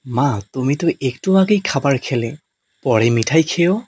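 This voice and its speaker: A man playing a gentle, indulgent father of a little girl in a children's cartoon. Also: mid-range voice, expressive, unhurried, breathy edge, dry recording.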